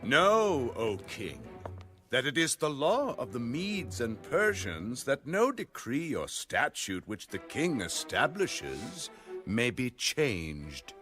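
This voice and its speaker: A scheming royal official in an audio Bible drama. He speaks slowly and formally, with lively, insistent swings in pitch. Music plays clearly behind him.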